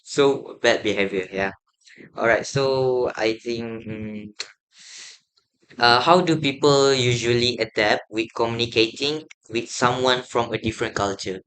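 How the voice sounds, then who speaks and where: A man's voice: medium pitch, a little slow, fairly bright tone, poor audio. A young man, politely asking interview questions as a student, with fillers. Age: young adult. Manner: polite, with fillers and brief acknowledgments. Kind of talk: semi-formal interview questions.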